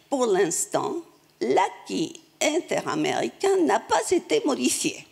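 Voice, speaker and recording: A woman interpreting a panel speech live into French, measured and halting, restarting phrases as she goes. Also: a little high, creaky, darkish tone, slightly rough. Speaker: simultaneous interpreter.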